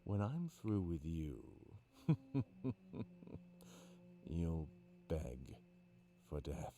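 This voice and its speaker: A man voicing a sinister, ruthless anthropomorphic interrogator who makes deliberate, taunting threats in a visual novel. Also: sits low, sing-song, mellow, slight background noise, pitched below the performer's own voice, full character voice. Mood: menacing.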